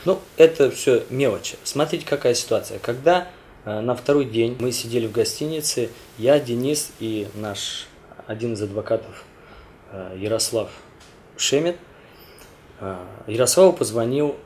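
A man vlogging in a casual, halting way, speaking while ill with a weakened voice. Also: mid-range voice, lively intonation, darkish tone, a little hiss or hum. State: ill, voice affected by a cold. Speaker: video blogger addressing viewers. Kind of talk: casual chat to camera.

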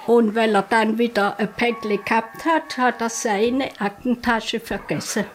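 A woman's voice: fairly high pitch, steady tone, unhurried. An older woman with a southern German accent recollecting spontaneously in an interview. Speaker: interviewed witness. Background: music.

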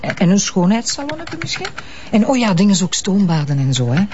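Fussy female travel customer, hesitant, very lively intonation, woman acting for radio drama, muffled recording. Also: medium pitch, a little fast, slight background noise, close to an everyday voice. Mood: hesitant, picky. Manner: hesitant, picky, repeating herself. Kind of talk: naturalistic radio drama dialogue. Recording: dull, band-limited radio drama recording.

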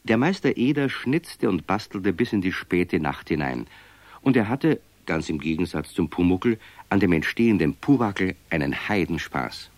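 Deep, animated male storyteller. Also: no echo. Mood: genial, amused. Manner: expressive, measured storytelling. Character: genial storyteller of a children's tale. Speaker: narrator. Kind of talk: scripted children's radio play narration.

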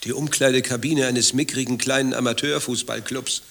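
A man's voice: mid-range voice, fairly even intonation, normal speed, creaky, a bit bright. An actor playing an anxious, grumbling married man with a secret lover, telling his troubles in fretful clipped phrases in a satirical radio play. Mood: exasperated. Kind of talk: dialogue-driven satirical radio play acting.

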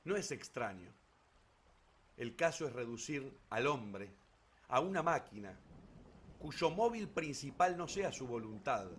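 A man narrating a literary story for radio theatre in an expressive, declamatory way with ironic emphasis. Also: mid-range voice, a little hiss or hum.

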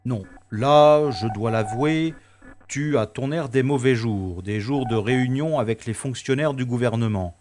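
A man's voice: medium pitch, a little slow, darkish tone, a little hiss or hum, natural voice. A man playing a sardonic, stubborn special agent in a science-fiction audio drama, with a wry, theatrical delivery. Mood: wry, surprised.

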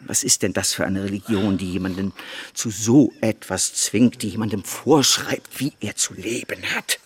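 An actor playing an eccentric, lecherous missionary preacher in a radio play, rambling and fawning with self-satisfied rhetorical questions. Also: male voice, lowish voice, moderate pace, husky. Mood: indignant preaching.

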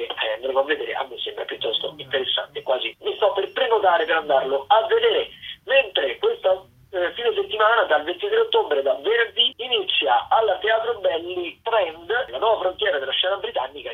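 A radio presenter giving a semi-scripted rundown of listings in a halting, discursive way, with self-corrections. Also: medium pitch, husky. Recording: muffled, phone-like radio line.

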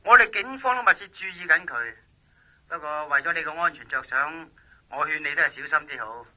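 An actor playing a brash, self-important police officer in a Cantonese radio drama, speaking pompously and emphatically through a telephone filter with a Hong Kong accent. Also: male voice, a little high, brisk, sharp tone. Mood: cautioning. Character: brash, self-important police special investigations lieutenant colonel. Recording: muffled telephone-line sound.

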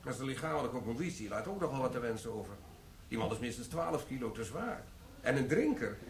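A man's voice: medium pitch, sing-song, brisk, vocal fry, roomy sound, slight background noise. A man playing a stern, dry security chief. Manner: formal, dry, curt. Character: stern middle-aged security manager, dry and cool. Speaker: actor in radio play. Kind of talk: radio play acting.

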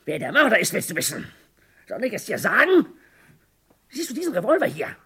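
An actor playing a high-strung, exasperated man driven murderous while reading crime novels, in 1960s radio comedy acting with a raspy, strained voice. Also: huge pitch swings, brisk, sharp tone, full character voice. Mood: menacing, deranged. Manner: hysterical, melodramatic outbursts.

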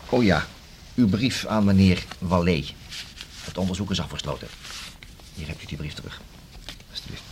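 Very deep, gravelly male inspector. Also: exaggerated voice. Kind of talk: radio drama acting. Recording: faint background noise on old radio recording.

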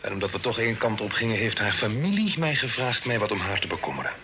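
An actor in a radio play voicing a polite, formal, obliging gentleman traveller. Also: fairly low pitch, very animated, quick pace. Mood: polite, guarded. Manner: polite, formal, well-mannered. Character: courteous, obliging gentleman traveller escorting a young lady. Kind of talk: Dutch radio play acting. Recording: noisy old radio recording, clicks.

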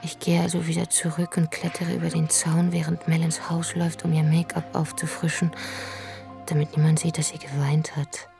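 A weary young mother narrates in a radio drama, hushed and close to a whisper. Her voice is very low, and clear music plays behind her. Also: flat delivery, normal speed. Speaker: first-person narrator, mother.

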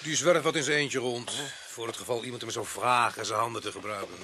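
An actor playing a dour, weary and dryly humane police sergeant in a radio drama. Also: male voice, medium pitch, fairly quick, noisy background, close to an everyday voice.

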